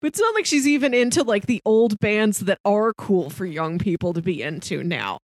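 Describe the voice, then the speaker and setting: A woman's voice: medium pitch, sing-song, normal speed, dry recording, studio quality. A woman podcast co-host in a casual film discussion, chatty and musing aloud. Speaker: podcast co-host reviewing films.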